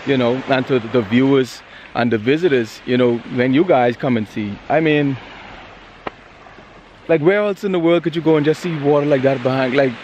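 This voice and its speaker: A man's voice: medium pitch, normal speed, a little mellow, lo-fi sound. A man with a Bahamian accent chatting casually to his viewers as he vlogs outdoors, holding the camera himself. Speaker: vlogger. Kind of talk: casual vlog chat to viewers. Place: outdoors on an island beach and roadside. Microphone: handheld camera at arm's length. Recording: faint outdoor noise.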